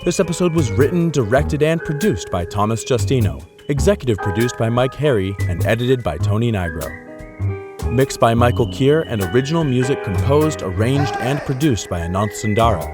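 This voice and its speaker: A man's voice: mid-range voice, animated, moderate pace. A man reading scripted podcast credits as an announcer. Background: music.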